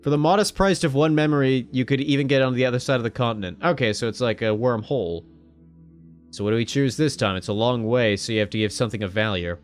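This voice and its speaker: A man's voice: quick pace, smooth. Joking gamer man with faint background music. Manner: joking, irreverent, swears casually; jumps to sudden loud high exclamations. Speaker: gaming video host. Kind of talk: casual let's-play commentary, reading game text aloud.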